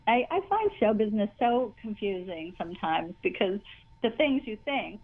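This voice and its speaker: An older woman with a high voice, chatting in an interview as a guest, talking in long rambling runs full of restarts and laughs. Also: big pitch swings, moderate pace, narrow, tinny audio. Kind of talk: casual interview chat.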